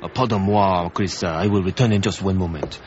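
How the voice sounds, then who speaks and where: An actor playing a courteous French hairdresser with a put-on French accent, speaking gently and formally in a radio drama. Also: male voice, sits low, brisk, background noise, close to an everyday voice. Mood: gentle. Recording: slightly dull radio drama recording.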